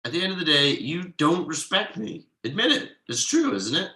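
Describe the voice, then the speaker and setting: A man playing an exasperated, uptight workaholic father with halting, sardonic delivery in a comedy table read. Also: fairly high pitch, big pitch swings, sharp tone, heavily acted. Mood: aggrieved.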